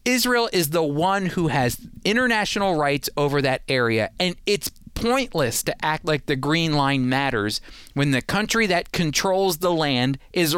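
Emphatic man with lively, higher-pitched delivery. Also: clear, resonant tone, no room sound, studio quality. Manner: emphatic, persuasive. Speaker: host and Bible teacher. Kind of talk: prepared teaching commentary.

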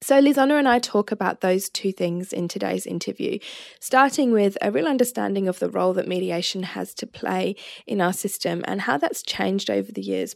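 A woman's voice: mid-range voice, gravelly, no echo. An Australian woman hosting a podcast, introducing the episode and guest in an articulate, enthusiastic way. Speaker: podcast host.

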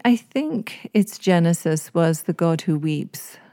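A woman from East Africa with an English accent talks as a guest on a podcast. Her voice sits low and warm. She chooses her words with care and phrases them formally. The recording is dry and close. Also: expressive, slow, dry recording. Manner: thoughtful, articulate, formal phrasing. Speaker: guest author. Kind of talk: podcast interview.

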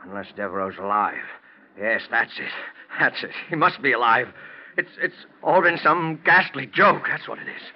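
An actor playing a guilty, fearful husband in angry denial, with agitated, theatrical delivery in an old-time radio thriller. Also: male voice, medium pitch, expressive, normal speed, close to an everyday voice. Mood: uneasy, defensive. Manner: agitated, theatrical, repeating himself in panic. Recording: muffled, noisy old radio drama recording.

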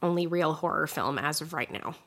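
Croaky, nasal woman hosting a chat. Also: deep voice, animated, fairly quick, fairly bright tone. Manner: chatty, laughing, emphatic. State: croaky, recovering from illness. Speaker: podcast host. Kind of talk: casual chat.

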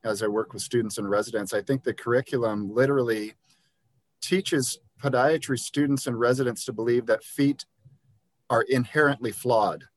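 A man's voice: medium pitch, fairly even intonation, normal speed, no echo. A man, a podiatrist guest on an interview podcast, explaining his views in an earnest, conversational way with frequent ums and restarts. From Liberia.

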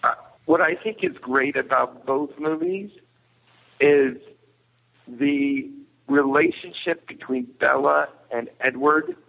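Over a thin phone-like connection, a man with a gravelly, creaky voice speaks at a middle pitch. He is a podcast guest chatting casually, often rephrasing himself as he goes. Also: takes it slowly. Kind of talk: casual discussion. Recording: narrow, tinny phone-like line.

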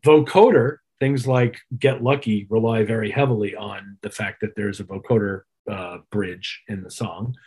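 Husky middle-aged man explaining in detail. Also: medium pitch. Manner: reflective, detailed explaining with trade terms. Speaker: interview guest, touring keyboard player. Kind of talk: conversational interview answers.

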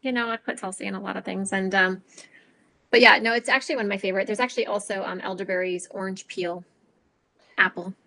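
A woman co-hosting a casual chat, calmly explaining breathing practice. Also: mid-range voice, quick pace, creaky.

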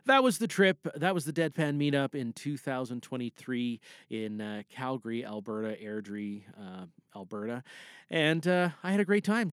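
A man's voice: mid-range voice, no echo, studio quality. A man giving a casual, chatty, unscripted recap as a podcast host, rambling from one point to the next.

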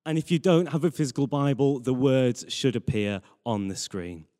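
A man's voice: medium pitch, animated, moderate pace, mellow. A young British man giving an earnest, conversational sermon as a church preacher. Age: young adult. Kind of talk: sermon with Bible reading. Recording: long hall reverb.

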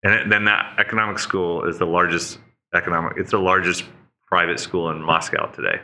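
Guest, 50s man from Oklahoma: low voice, crisp bright edge, lively anecdotes. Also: a little fast. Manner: animated storyteller, jumps to high-pitched mock voices. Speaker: podcast guest, leadership speaker. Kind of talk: casual interview chat.

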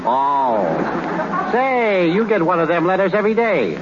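A needling wry ballplayer who buys none of it, lively voice, noisy old radio. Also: male voice, high voice, unhurried. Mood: skeptical. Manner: wry, doubting, colloquial. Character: skeptical, needling male ballplayer quizzing a teammate. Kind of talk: 1930s radio comedy acting. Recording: noisy muffled 1930s radio recording.

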